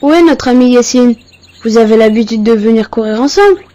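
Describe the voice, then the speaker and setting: A woman's voice: on the high side, expressive, fairly quick, warm tone, close to an everyday voice. A woman voicing an earnest young cartoon boy. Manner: sincere, pious, questioning. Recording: dull lo-fi cartoon audio.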